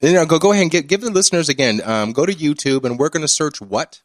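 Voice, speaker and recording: A man's voice: a little high, quick pace, no room sound. A man hosting a radio talk show, casual and brash, ranting and effusive, from a studio. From East Los Angeles.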